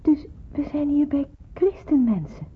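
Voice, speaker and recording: A woman's voice: sits high, animated, measured pace. An actress playing a bewildered, wary young woman in hiding, musing and questioning in a radio drama. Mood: hesitant, curious. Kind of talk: radio drama narration and dialogue. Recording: muffled, narrow-band old radio recording.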